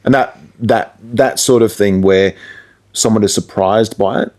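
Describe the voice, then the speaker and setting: A man's voice: fairly low pitch, a little slow, a little mellow. An Australian man, a radio presenter and musician, chatting casually as an interview guest.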